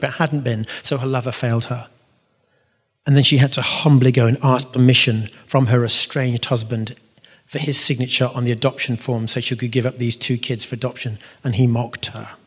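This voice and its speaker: A man's voice: mid-range voice, breathy edge. Man telling a testimony in a reverberant hall. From half Syrian. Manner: animated storytelling, bursts of loud emphasis. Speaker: speaker giving a testimony. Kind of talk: faith testimony talk to an audience.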